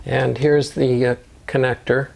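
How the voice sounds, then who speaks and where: A man narrating step-by-step DIY instructions in a measured, matter-of-fact way. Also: medium pitch, level tone, gravelly, a little mellow. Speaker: motorcycle DIY video host.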